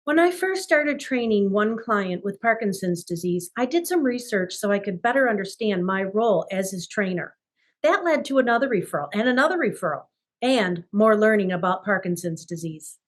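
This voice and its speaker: A woman's voice: medium pitch, lively intonation, moderate pace. A woman personal trainer hosting and giving a scripted, welcoming introduction.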